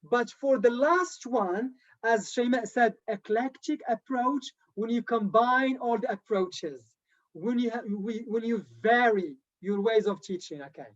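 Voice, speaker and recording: A man's voice: very high-pitched, unhurried. Male TESOL trainer on a video call, upbeat and repetitive lecturing, muffled lo-fi sound. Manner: lively, encouraging lecturer who repeats key points. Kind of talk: live online teacher training.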